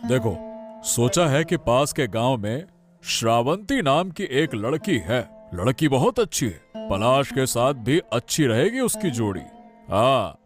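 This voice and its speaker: A man's voice: mid-range voice, big pitch swings, a little slow, soft background music. A man voicing a middle-aged village father and household head in a cartoon story, firm, reasoning and emphatic. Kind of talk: Hindi cartoon moral story dialogue.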